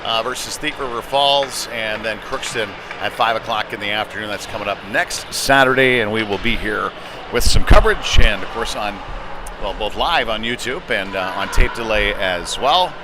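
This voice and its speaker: A man's voice: fairly low pitch, expressive, moderate pace. A man doing informal, conversational live play-by-play commentary of a hockey game. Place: indoor community ice rink. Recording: noisy ice arena ambience.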